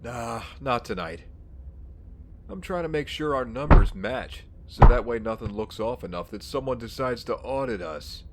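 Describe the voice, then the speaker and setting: An actor playing a grumbling, jittery bookkeeper for a drug racket in an audio drama. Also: male voice, medium pitch, expressive, moderate pace, background noise, lightly acted.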